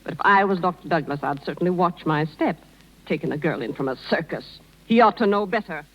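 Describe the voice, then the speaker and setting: A disapproving small-town busybody wife in a 1930s radio drama. She is prim and gossipy, with lively intonation. The sound is dull and lo-fi. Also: fairly low pitch, fairly quick.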